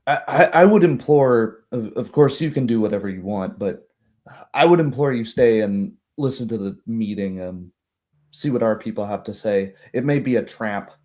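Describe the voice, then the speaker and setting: Man playing an anxious, formal baroness, low-voiced. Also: a little mellow, natural voice. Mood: worried, pleading. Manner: halting, urgent, formal. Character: anxious, proper noblewoman baroness, worried for her town.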